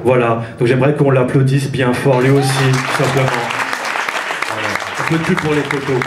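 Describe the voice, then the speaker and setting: A man's voice: mid-range voice, steady tone, normal speed, over loud music, a loud crowd. A man from France performing slam poetry on a theatre stage, with a rhythmic, intense spoken-word delivery. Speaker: slam poet. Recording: roomy theatre echo, live.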